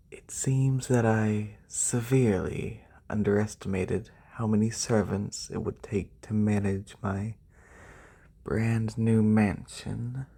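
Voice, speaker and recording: Man playing a slow, breathy, haughty lamia noble. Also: on the low side, warm tone, heavily acted. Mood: stern, haughty. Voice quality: breathy, husky. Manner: condescending, formal, drawn-out delivery. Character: haughty wealthy lamia noble, demanding serpent-bodied employer. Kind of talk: soft-spoken ASMR roleplay. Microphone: close binaural microphone.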